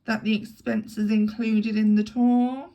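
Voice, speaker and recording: A woman reading an audiobook, voicing a stern, thrifty older matron who speaks in a deep, slow, disapproving way. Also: little pitch movement, dark tone. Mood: severe.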